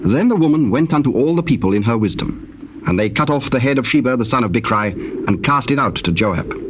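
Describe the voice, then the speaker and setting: Guy narrating bible drama, solemn measured read, medium pitch, noisy old tape sound. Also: animated. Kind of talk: scripted narration of dramatized scripture. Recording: noisy cassette tape recording.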